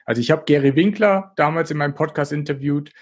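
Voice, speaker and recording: A man's voice: a little high, fast talker. A man talking casually as a podcast guest, in a blunt, colloquial, motivational way. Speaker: podcast guest, diabetes expert. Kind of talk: casual conversational interview talk.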